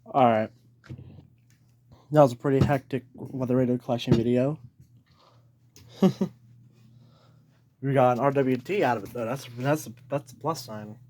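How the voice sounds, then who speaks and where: A man's voice: mid-range voice, fairly even intonation, unhurried, long pauses, a little mellow. A man chatting casually as he hosts a hobby video, informal and hesitant, thinking aloud. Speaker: hobbyist video host showing a radio collection. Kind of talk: casual vlog chat.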